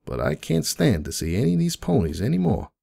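A man voicing a grieving, kindly cartoon pony cafe owner in an emotional, halting dramatic monologue. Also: mid-range voice, huge pitch swings, vocal fry, warm tone, heavily acted. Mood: weary, bitter. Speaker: dramatic reader of fan fiction. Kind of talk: dramatic first-person monologue reading.

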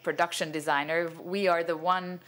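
Older man, halting lecture, fillers, stand mic on a cinema stage. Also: on the high side, flat delivery, sharp tone. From Venezuela. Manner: hesitant, many fillers and restarts, gestures broadly. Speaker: cinematographer giving a masterclass. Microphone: stand microphone.

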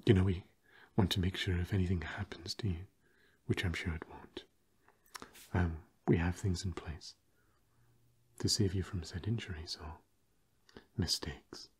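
A young man playing a fussy, old-fashioned vampire housemate in an ASMR roleplay, breathy and drily polite. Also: low-pitched, fairly even intonation, exaggerated voice. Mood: polite, reassuring. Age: young adult. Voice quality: breathy, husky. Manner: genteel, dry, measured.

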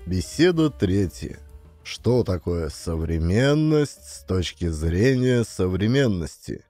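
A man's voice: low-pitched, very animated, slow pace, warm tone, no echo. A man reading scripted audiobook narration as a storyteller. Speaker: narrator and actor of an audio play. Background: music.

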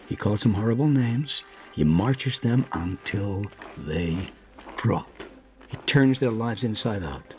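Radio drama: exacting veteran American film director, low-pitched, deliberate, probing, with widely varied intonation. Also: male voice, darkish tone, husky, heavily acted. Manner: deliberate, probing, punctuates with checking questions. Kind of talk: naturalistic radio drama acting.

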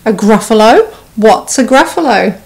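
A woman's voice: fairly high pitch, lively intonation, normal speed, gravelly, a little mellow, rough recording. A woman voicing a sly, easily startled male snake in a children's storybook. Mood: coaxing.

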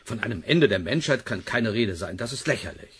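A man's voice: fairly low pitch, animated, a little fast, fairly bright tone, breathy edge, full character voice. A man playing a self-assured senior professor in a sci-fi radio drama, authoritative and brusque.

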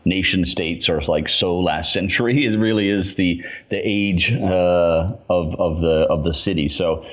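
A man in his forties from Canada, talking about his work in a relaxed, chatty way with many fillers. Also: low voice, a little slow, lo-fi sound. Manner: relaxed and chatty, lots of fillers, laughs easily. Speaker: guest, urban design consultant. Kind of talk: casual interview chat.

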